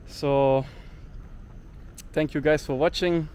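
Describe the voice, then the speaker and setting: A young man rants to camera in an indignant vlog monologue, leaning on "like" as filler. His voice sits a little on the high side. Faint traffic hums in the street around him. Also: fairly even intonation, slow pace, a little mellow. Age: young adult. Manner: indignant, heavy use of "like" fillers. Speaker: travel vlogger.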